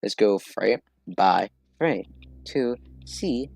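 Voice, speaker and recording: A man's voice: on the low side, expressive, drawn-out words, gravelly, a little mellow, slightly rough, no room sound. A man hosting a reaction video with casual, joking commentary and informal interjections.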